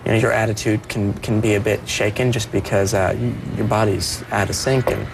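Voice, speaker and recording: A young man speaking as a performer guest on a TV interview, soft-voiced and earnest, often restarting his sentences. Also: lowish voice, steady tone, a little fast, creaky, background noise. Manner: earnest, with many restarts and repeated words. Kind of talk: TV morning show interview.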